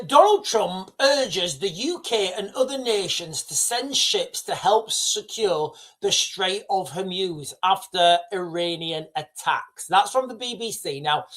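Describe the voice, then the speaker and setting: A middle-aged man hosting a casual news livestream, talking straight to his viewers with many restarts and asides. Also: fairly high pitch. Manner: excitable, addresses viewers directly, rambling with restarts.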